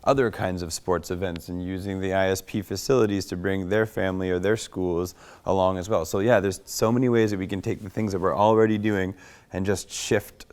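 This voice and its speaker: A man with a low, resonant voice leads an informal workshop. He is encouraging, responding to people's ideas and checking in with the group as he goes. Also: vocal fry, a little mellow. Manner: encouraging, frequently checks in with "right". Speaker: workshop facilitator.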